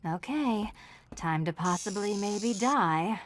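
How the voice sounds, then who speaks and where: Dry sarcastic game thief lady, slow talker, bit of background noise. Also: medium pitch, animated. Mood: wry, uneasy. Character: wry, sardonic adult female thief in peril. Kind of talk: video game acting.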